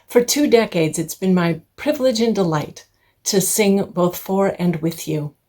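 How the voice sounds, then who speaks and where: A woman's voice: mid-range voice, measured pace, a little mellow. A middle-aged woman, a singer and intern minister, gives a heartfelt personal address to her congregation.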